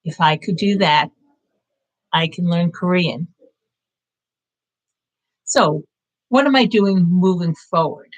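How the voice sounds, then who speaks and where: Woman, late fifties, channel host; unhurried, low, encouraging live-stream chat. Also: highs cut off. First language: English. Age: 50s. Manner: earnest, reflective, encouraging. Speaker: language-learning channel host. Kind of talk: casual live-stream update. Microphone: live-stream setup.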